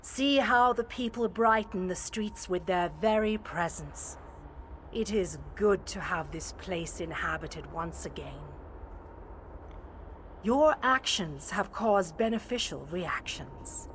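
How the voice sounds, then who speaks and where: Slow, serene, wise female guardian. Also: on the low side, lively intonation, slight background noise, poor audio. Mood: serene, pleased. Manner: formal, measured, serene. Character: calm, wise, ethereal female guardian of a city. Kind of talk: video game voice acting.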